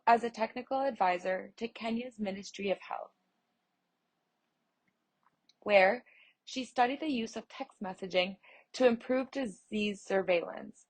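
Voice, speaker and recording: A woman reads a news feature out loud at a mid-range pitch, her delivery steady and measured. She stumbles on a word now and then, restarts, and carries on in the same even manner. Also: breathy edge, rough recording. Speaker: voice interpreter reading an article. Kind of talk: reading a news feature aloud.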